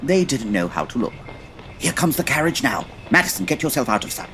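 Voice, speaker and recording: A woman playing a pompous, dryly impatient male Victorian detective with a husky voice and formal, precise diction, in a comedy audio drama. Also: very deep voice, sing-song, fairly quick, background noise, full character voice. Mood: urgent, commanding.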